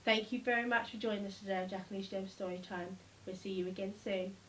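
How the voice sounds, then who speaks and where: A woman reading a children's storybook aloud, with expressive, deliberate phrasing and emphatic repetition. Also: mid-range voice, slight background noise. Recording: slightly distant microphone.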